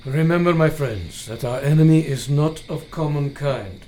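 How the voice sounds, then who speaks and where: A man's voice: on the high side, normal speed, mellow, slight background noise, lightly acted. A man acting a grave, protective older vampire-hunting scholar in a radio play, speaking in formal, old-fashioned phrasing with foreign-sounding grammar. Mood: solemn.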